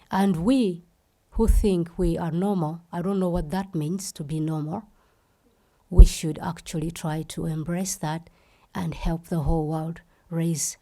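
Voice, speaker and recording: A woman's voice: fairly low pitch, dark tone. A woman from Uganda, the founder of a festival, giving an impassioned speech and repeating phrases for emphasis.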